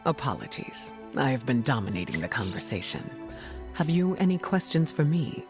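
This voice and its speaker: A woman playing a regal, gracious mutant heroine in a video game, speaking formally and with measured poise. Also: extremely low pitch, sing-song, over music, full character voice. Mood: warm, courteous. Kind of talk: video game cutscene acting.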